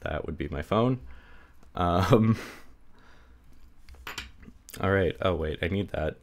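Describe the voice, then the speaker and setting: A man's voice: sits low, takes it slowly, slight background noise. A man giving a casual hands-on tutorial, rambling with many fillers and self-deprecating asides, sounding slightly stuffy from a cold. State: stuffy, getting sick. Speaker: hobby lockpicker demonstrating. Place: indoors at a table.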